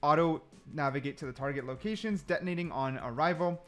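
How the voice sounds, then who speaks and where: A man hosting gaming videos with casual, animated gameplay commentary, often repeating phrases for emphasis. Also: a little high, fast talker, soft background music.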